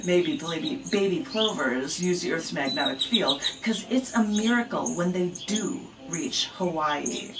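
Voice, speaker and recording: Woman poet reading and chatting on radio, lowish voice, recorded far from the mic with noise. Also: lively intonation, a little slow, few pauses. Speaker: poet, interview guest. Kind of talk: poetry reading and casual radio chat. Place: radio studio, recorded on a camera. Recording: noisy, distant camera recording in radio studio.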